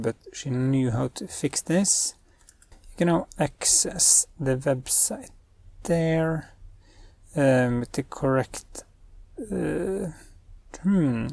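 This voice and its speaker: Brief: young male coding host, husky and mellow, dry close sound, thinks aloud. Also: medium pitch, takes it slowly, no echo. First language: Norwegian. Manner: thinks aloud while giving spoken commands. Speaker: web developer and channel host. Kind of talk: screen-recorded coding tutorial.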